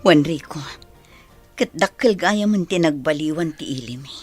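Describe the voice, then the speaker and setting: A woman's voice: low-pitched, expressive, normal speed, darkish tone, faint music behind. A woman playing an earnest grown daughter in an Ilocano radio drama, speaking emotionally with an Ilocano accent. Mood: glad. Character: earnest grown daughter reunited with her father.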